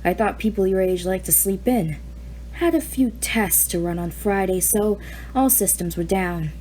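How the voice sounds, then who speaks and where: Offhand busy inventor guy, mellow tone; woman reads it. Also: mid-range voice, normal speed, a little hiss or hum, close to an everyday voice, low-quality recording. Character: brisk, busy grown man inventor.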